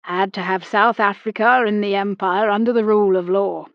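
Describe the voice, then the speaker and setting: A woman voicing an old British army captain, brusque, with a clipped, slow and emphatic manner. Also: medium pitch, steady tone, no echo, dull audio, lightly acted. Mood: blustering.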